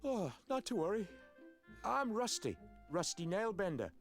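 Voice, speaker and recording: A man's voice: high voice, very animated, unhurried, exaggerated voice. An actor playing a friendly, chatty young male blacksmith's apprentice with a folksy, easygoing manner in a fantasy game. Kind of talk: fantasy adventure game voice acting. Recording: game audio with music underneath.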